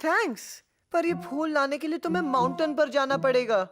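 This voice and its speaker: A woman's voice: high voice, normal speed, a bit bright. A woman playing a scheming, jealous, mischievous little boy in a dubbed children's cartoon. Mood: sly, coaxing. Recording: music behind the voice.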